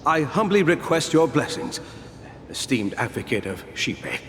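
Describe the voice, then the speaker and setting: An actor playing a humble, guilt-laden household servant in a video game, earnest, deferential and halting. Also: male voice, very animated. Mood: humble, guilty. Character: humble, guilty male household servant confessing his sins. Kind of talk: dramatic game voice acting. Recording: long hall reverb, faint rumbling noise.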